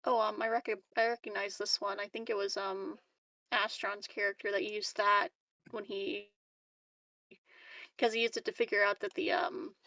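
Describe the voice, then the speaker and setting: A woman's voice: mid-range voice, little pitch movement, normal speed, gravelly, bright, forceful tone, dry recording, recorded from a distance, rough recording. A woman chatting casually as a player in a tabletop roleplaying game.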